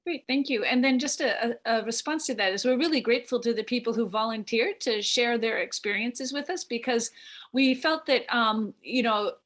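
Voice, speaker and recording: Woman, fairly high voice, workshop leader, conversational facilitating, video call. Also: normal speed, a bit bright. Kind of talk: facilitating an online workshop discussion. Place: indoor room on a video call.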